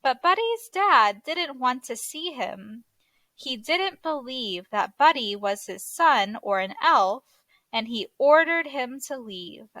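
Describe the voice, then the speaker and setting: Female storybook narrator for kids, fairly high bright voice, unhurried and expressive, close dry sound. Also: no room sound. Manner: expressive, deliberate read-aloud delivery. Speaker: teacher reading a children's story aloud. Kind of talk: scripted storybook narration for children.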